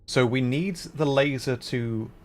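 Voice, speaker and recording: A man's voice: mid-range voice, slow. A man doing casual live gameplay commentary, thinking aloud and playfully repeating words as he goes. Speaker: VTuber game streamer.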